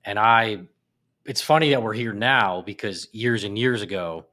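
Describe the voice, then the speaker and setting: A man chatting as a podcast guest, an animated storyteller whose voice jumps up when he gets excited. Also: fairly low pitch, a little slow. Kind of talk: casual chat. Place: apartment in a big city.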